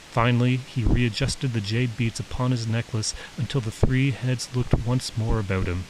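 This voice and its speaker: Low-voiced man narrating fiction. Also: low-quality recording. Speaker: author reading own story. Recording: faint steady background hiss.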